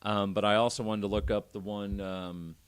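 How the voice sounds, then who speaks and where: A man's voice: on the low side, flat delivery, normal speed, clear, resonant tone. Irreverent man telling a story casually. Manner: irreverent, profane, joking. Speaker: podcast panelist telling a story.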